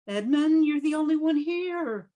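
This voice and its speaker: Brisk, lively-pitched female agent acted by a woman. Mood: concerned. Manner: brisk, bossy, reassuring. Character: brisk, practical female literary agent and boss. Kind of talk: radio drama acting.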